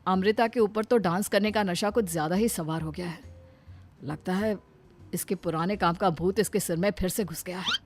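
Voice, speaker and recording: A woman's voice: lowish voice, normal speed, faint music behind, close to an everyday voice. A woman voicing an earnest young husband and dutiful son in a cartoon story, reasoning with his family.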